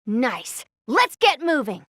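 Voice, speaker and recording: A woman's voice: sits high, very animated, slow pace, fairly bright tone, no echo, clean studio sound, full character voice. A woman voicing a brash, protective young girl in an anime game, blunt and exasperated. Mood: impatient.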